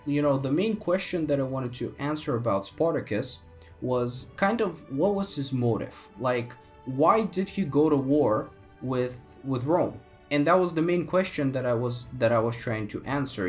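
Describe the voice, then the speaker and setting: A young man, a native Bulgarian speaker, talking through history on his solo podcast, thoughtful with many fillers and restarts. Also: medium pitch. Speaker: podcast host.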